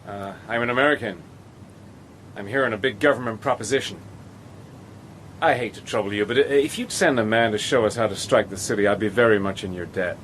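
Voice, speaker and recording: An actor playing a bluff adventurer who puts on a casual, drawling manner and also narrates the story. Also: male voice, on the low side, brisk, slight background noise, lightly acted. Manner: drawling, casual bluster in dialogue. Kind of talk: radio drama narration and dialogue. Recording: loud music score behind voice.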